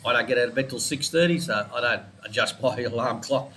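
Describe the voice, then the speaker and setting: A congested Australian man talks through his weekly trading charts in a loose, chatty way and wanders off into side topics. His voice sits at an ordinary middle pitch, and a faint hiss of room noise sits under it. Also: a little fast, sharp tone. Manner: chatty, rambling, digressive, with small laughs. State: congested with a head cold. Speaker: forex trading educator. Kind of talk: casual weekly market analysis.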